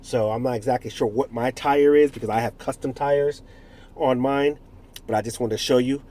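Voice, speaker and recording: A man's voice: mid-range voice, fairly even intonation, normal speed, dark tone. A man in his forties from the United States with a stuffed-up nose from a cold, casually reviewing a product in a rambling, self-correcting way with jokey asides. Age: 40s.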